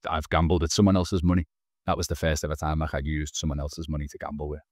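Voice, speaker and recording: A man's voice: very deep voice, level tone, fast, a little mellow, dry recording, studio quality. A man in his thirties, a podcast guest telling his own story earnestly over a video call, often restarting his words. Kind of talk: candid personal storytelling. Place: small indoor room. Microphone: video call, wearing headphones.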